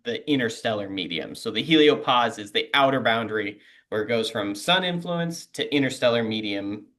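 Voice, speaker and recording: A man's voice: medium pitch, expressive, normal speed, fairly bright tone. A man panelist explaining space physics plainly during a webinar over a video call.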